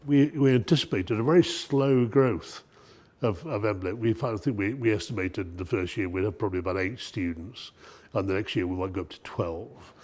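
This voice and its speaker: Husky, mellow-voiced staff man. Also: lowish voice. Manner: halting, repeats words as he restarts. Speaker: art school programme staff. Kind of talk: documentary interview.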